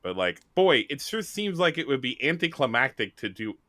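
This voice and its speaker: A man hosts a tabletop roleplay session with a bright, forceful voice and lively swings in pitch. The sound is close and dry as he improvises casually. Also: normal speed, no room sound. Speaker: host and game narrator. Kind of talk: casual improvised game narration and chat.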